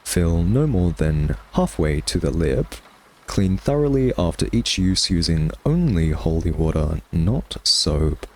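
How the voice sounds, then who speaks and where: A man's voice: low voice, sing-song, unhurried, full character voice. A man playing a smug male vampire in an ASMR roleplay, taunting a captured hunter with a sardonic, teasing, conversational drawl. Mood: dry reading aloud.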